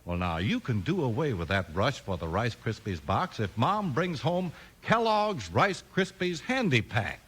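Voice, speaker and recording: A man's voice: mid-range voice, very animated, a little slow, hardly any pauses, fairly bright tone, slightly rough. A man delivering a chatty scripted commercial as a 1950s radio announcer.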